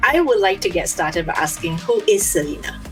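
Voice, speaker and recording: Low-pitched female interview host. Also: expressive, a little fast, a bit bright, soft background music. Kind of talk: scripted introduction and conversational interviewing. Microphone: remote video call.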